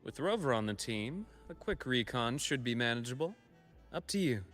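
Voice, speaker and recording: A calm adult male teacher speaks at a middling pitch. His game-character delivery is measured, responsible and matter-of-fact, over faint music. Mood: cautious, steady. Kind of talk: video game voice acting.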